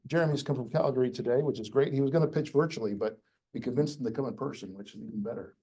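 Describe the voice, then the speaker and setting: A man hosting a live pitch session in a crowded room, chatty and rambling with frequent restarts. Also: rapid-fire, warm tone, breathy edge. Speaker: event host.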